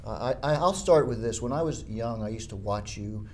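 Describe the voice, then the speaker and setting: A man's voice: fairly low pitch, animated, moderate pace, slight background noise. A man hosting an interview, eager and stumbling over his words as he asks questions. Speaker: interviewer and host.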